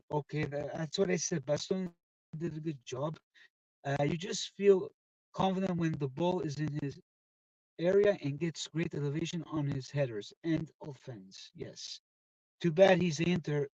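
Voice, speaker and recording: A man hosting a casual live football chat from inside a car, talking loudly and excitedly and dropping Italian football phrases into his talk. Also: fairly high pitch, no echo. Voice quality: loud voice. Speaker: live-stream football show host. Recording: dull, distant, dry car recording.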